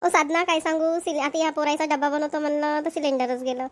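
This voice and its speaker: A high-pitched cartoon village housewife, played by a woman, harried and full of complaints. She chats and grumbles repetitively in a Vidarbha Marathi accent. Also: flat delivery, fast talker, dry recording, close to an everyday voice. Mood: complaining. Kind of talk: amateur cartoon comedy dialogue.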